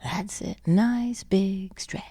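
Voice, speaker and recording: A woman guiding a sleep meditation, speaking gently and soothingly in a breathy, creaky half-whisper with lingering pauses. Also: mid-range voice, no room sound, studio quality. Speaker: meditation guide. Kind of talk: guided sleep meditation, soft instruction.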